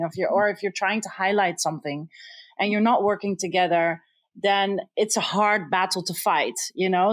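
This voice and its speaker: A woman's voice: medium pitch, no room sound. A woman from the Netherlands, a culinary entrepreneur and interview guest, speaking earnestly with many hesitant fillers. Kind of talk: casual interview.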